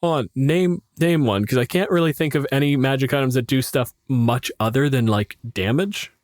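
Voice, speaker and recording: Low-voiced man, blunt and profane. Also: moderate pace, darkish tone, dry recording, clean studio sound. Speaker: podcast co-host and game master. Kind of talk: casual chat about tabletop game rules.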